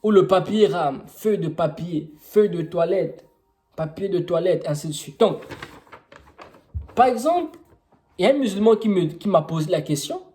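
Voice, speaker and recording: Young Congolese man, French with Congolese accent, Kituba native speaker, warm voice, informal teaching with rhetorical questions. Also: high-pitched, expressive, normal speed, long pauses. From Congo-Brazzaville. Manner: explanatory, with rhetorical questions and many fillers.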